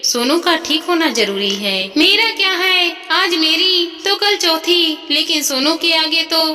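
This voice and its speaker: A woman voicing a kind, self-sacrificing elderly mother in a story, speaking gently and earnestly with affection. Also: very high voice, measured pace, heavily acted. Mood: earnest, resolute. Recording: long reverb, music behind.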